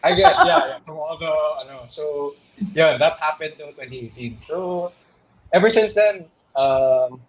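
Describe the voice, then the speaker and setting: Casual interview guest: a young man, a bit high-pitched, easygoing and loose, recorded muffled. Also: a little slow. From Philippines. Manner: switches between English and Tagalog in loose, rambling sentences.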